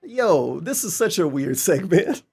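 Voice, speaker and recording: A man's voice: a little high, big pitch swings, measured pace, vocal fry, over music. A man giving casual, mocking reaction commentary as a streamer, incredulous, sarcastic and profane.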